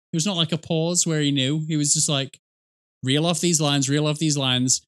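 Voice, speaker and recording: A middle-aged man giving casual, sarcastic, nitpicky reaction commentary with some swearing. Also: on the high side. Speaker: reaction streamer. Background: game and cutscene audio with music.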